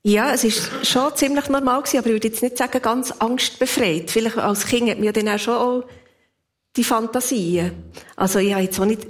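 A woman's voice: fairly high pitch, a little fast. A woman in her fifties with a Swiss accent, telling personal stories in a casual, reflective way with an easy laugh. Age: 50s. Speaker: interview guest.

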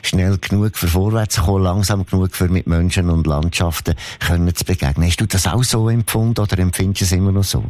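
A man's voice: sits low, fast talker, few pauses, darkish tone, dry recording. A man with a Swiss German accent hosting a conversational radio interview, responding with enthusiasm.

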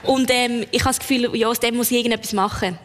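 A woman from Lucerne with a Central Swiss German accent, a comedian telling stories in a lively, giggly way. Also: fairly high pitch, fast talker, long reverb tail, noisy background. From Lucerne, Switzerland. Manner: lively, giggly, animated storyteller. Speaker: comedian guest. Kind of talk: interview chat.

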